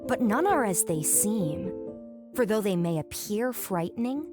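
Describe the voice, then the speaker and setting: Clear music sits behind a woman acting as a caring older sister in a family audio drama. She speaks slowly at a middle pitch, with a gentle, expressive storyteller's manner. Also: natural voice. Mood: hushed wonder.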